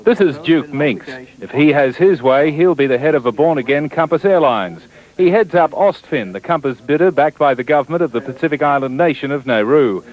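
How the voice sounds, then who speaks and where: A man's voice: a little high, expressive, fairly quick. A man narrates a news report steadily and matter-of-factly. His voice sounds dull and lo-fi, as on an old broadcast tape. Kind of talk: scripted news report voice-over.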